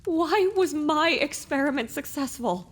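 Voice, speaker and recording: A young, distractible mare from a fantasy audiobook, played by a woman. The voice is high and very animated, with a wondering, rambling manner. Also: unhurried. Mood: bewildered. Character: young, distractible mare, puzzled and self-questioning.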